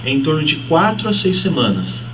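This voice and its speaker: A man's voice: a little high, big pitch swings, brisk. A man with a Brazilian accent, speaking as a guest fitness trainer and explaining conversationally with examples. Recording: muffled, noisy, distant-sounding broadcast audio.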